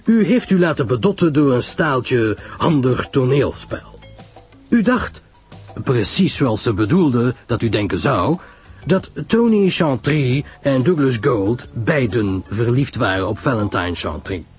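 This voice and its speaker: A man voicing a shrewd, courteous, self-assured detective in an audiobook story, husky, deliberate and emphatic in explaining. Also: medium pitch, very animated, darkish tone, faint music behind, exaggerated voice. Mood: explanatory, assured.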